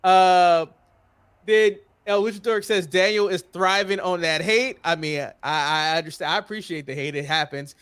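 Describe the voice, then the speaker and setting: A man's voice: sits high, normal speed, fairly bright tone, clear, resonant tone. A man hosting a wrestling podcast in casual panel chat, steering the talk with his co-hosts. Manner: reads out viewer comments, puts questions to co-hosts.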